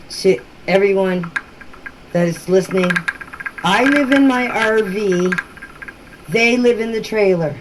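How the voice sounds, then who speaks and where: An amateur voice-message recording with faint noise carries a woman's mid-range voice. She explains things informally and deliberately. Her way of talking is plain-spoken and matter-of-fact. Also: medium pitch, rough recording.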